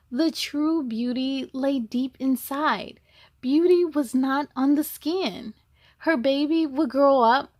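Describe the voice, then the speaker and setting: A woman hosting a children's story time, reading a picture book aloud expressively, with animated exclamations, musing asides and questions to the listeners. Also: high-pitched, no room sound, clean studio sound.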